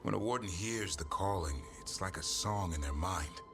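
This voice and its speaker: Casting: earnest, weary fantasy adventurer, very low reflective voice, game dialogue over clear music. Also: male voice, normal speed. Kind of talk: dramatic video game dialogue. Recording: music behind the voice.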